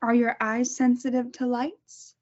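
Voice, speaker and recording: A woman reading the part of a creepy self-styled doctor mother who sounds sweet and calm while menacing. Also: fairly high pitch, measured pace, natural voice. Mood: sweetly menacing. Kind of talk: amateur table read. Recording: muffled lo-fi recording.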